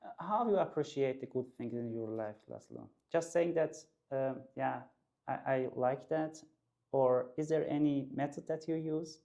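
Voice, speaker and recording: A man hosting an interview, asking questions politely and in halting phrases. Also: mid-range voice, vocal fry, warm tone, highs cut off. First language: Persian. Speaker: host. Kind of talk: casual interview.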